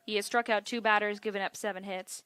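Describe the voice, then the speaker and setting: A woman calling baseball for television as play-by-play announcer, in a measured, descriptive way. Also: mid-range voice, steady tone, a bit bright, smooth, no echo. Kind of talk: live TV sports commentary.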